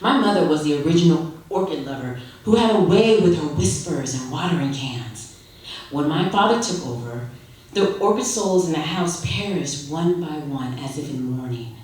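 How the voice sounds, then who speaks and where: A woman giving an expressive live reading of a literary story, with dramatic phrasing and deliberate pauses. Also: sits low. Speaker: story reader, actress. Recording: very echoey hall, distant microphone.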